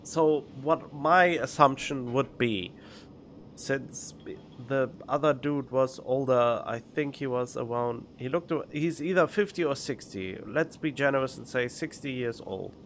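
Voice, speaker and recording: Slow-paced man doing game commentary. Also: mid-range voice, a little hiss or hum. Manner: musing, thinking aloud. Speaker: game streamer. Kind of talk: casual let's play commentary. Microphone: close studio condenser microphone. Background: faint game music.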